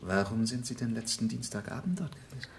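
An actor in a German radio play, playing a suave, flirtatious bachelor who talks with mocking politeness. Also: male voice, mid-range voice, fast talker, natural voice. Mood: teasing, probing. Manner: smooth politeness with mocking edge. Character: suave, sardonic bachelor charmer, flirtatious and probing.

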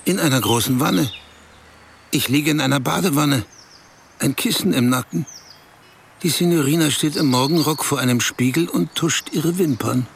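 An actor playing a man in a radio play who confides a strange, embarrassing adventure in short, halting phrases. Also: on the high side, gravelly, slight background noise. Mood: dazed, remembering. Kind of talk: radio play, intimate first-person storytelling.

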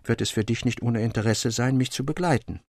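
Man reading as an earnest, troubled gentleman, creaky. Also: medium pitch, a little fast, no echo, clean studio sound. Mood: troubled, resolute.

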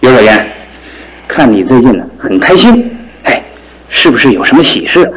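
A man's voice: medium pitch, big pitch swings, normal speed, crowd noise, natural voice. An actor playing a bookish young bachelor in a radio drama, grumbling at his roommates with dry, mocking scolding. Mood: curious, resigned. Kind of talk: Mandarin radio drama acting. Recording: roomy old broadcast with background babble.

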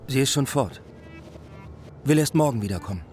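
An actor in a German radio play playing an earnest, unsettled young student who speaks pensively, as if thinking aloud. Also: male voice, medium pitch, expressive, normal speed, darkish tone, soft background music, close to an everyday voice.

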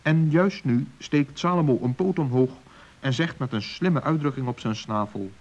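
A man's voice: medium pitch, normal speed. Male storyteller on a dull old recording. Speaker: storyteller narrator. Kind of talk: scripted narration of a children's radio play.

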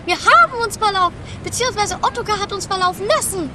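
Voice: extremely high pitch, animated, fast talker, background noise. A performer playing a vain, quarrelsome sister, cheeky and indignant, in an amateur absurd comedy radio play.

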